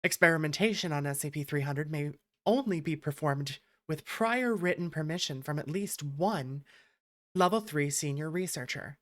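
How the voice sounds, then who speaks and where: A woman's voice: sits low, expressive, normal speed. A woman hosting a gaming stream, chatting casually and reading text aloud.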